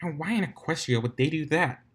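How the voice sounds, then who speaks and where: A man's voice: on the high side, fairly quick, breathy edge, pitched above the performer's own voice. A man voicing an anxious, irritable, bookish female cartoon unicorn, fretful and given to ranting, in a fan story reading. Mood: complaining.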